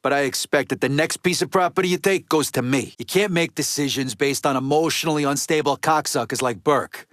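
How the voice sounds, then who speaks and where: An actor playing a tough, foul-mouthed middle-aged Italian-American mobster in a video game, with an American East Coast accent and blunt street talk. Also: male voice, on the high side, a little fast, few pauses, fairly bright tone, no room sound, studio quality, lightly acted. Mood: businesslike. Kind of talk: video game character dialogue.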